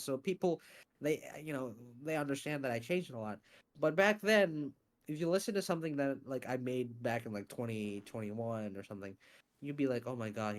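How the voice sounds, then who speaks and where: A man being interviewed about his voice acting and scriptwriting, talking in a casual, animated way with lots of fillers and some swearing. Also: medium pitch. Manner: animated, swears freely, many fillers and restarts. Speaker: interview guest, voice actor and scriptwriter.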